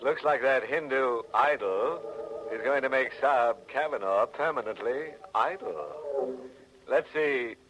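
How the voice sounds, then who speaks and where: An actor playing a sardonic, gleefully macabre host of a 1940s radio mystery, with droll, mock-polite delivery. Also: male voice, mid-range voice, unhurried, a bit bright, natural voice, rough recording. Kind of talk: 1940s radio horror hosting. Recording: old radio recording, faint noise.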